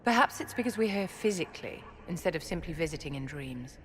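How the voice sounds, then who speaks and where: Fantasy mage scholar, deep female pitch, thoughtful, composed, analytical, game drama. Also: expressive, brisk, slight background noise. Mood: intrigued.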